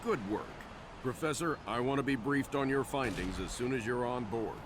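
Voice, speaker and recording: An actor playing a stern, composed older starship captain in a video game, giving clipped, measured orders with authority. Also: male voice, mid-range voice, noisy background, close to an everyday voice. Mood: commanding. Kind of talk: video game cutscene acting.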